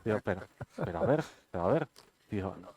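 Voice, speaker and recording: A man's voice: sits low, fast. A middle-aged man from Spain, the author, telling anecdotes at his book presentation and acting out the dialogue. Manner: lively storyteller, acts out quoted dialogue.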